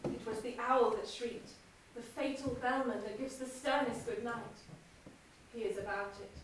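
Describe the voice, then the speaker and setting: A ruthless, scheming noblewoman played by a woman commands in intense Shakespearean verse, her voice pitched high. She is heard from far across a cavernous, echoing hall, with faint noise underneath. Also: fairly even intonation, normal speed, close to an everyday voice. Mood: hushed, tense. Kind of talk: stage play performance. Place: theatre stage in a large hall. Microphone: distant room mic.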